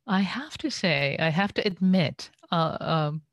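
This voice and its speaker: Brief: woman from Iran, Buddhist teacher, halting, gentle pacing, deep and slow. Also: lively intonation, no room sound, studio quality. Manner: slow, hesitant, many fillers and restarts. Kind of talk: dharma talk. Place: home, online session.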